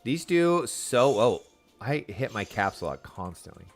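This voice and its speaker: A man's voice: medium pitch, big pitch swings, unhurried, faint music behind. A man chatting casually and enthusiastically as he streams a video game, using slang and talking to viewers.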